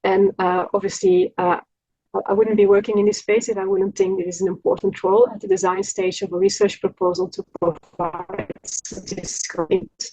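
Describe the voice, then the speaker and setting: Husky-voiced woman statistician presenting. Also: mid-range voice, level tone, dark tone, low-quality recording. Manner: earnest, measured, frequent "um" and "uh". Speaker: collaborative statistician. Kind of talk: conference presentation.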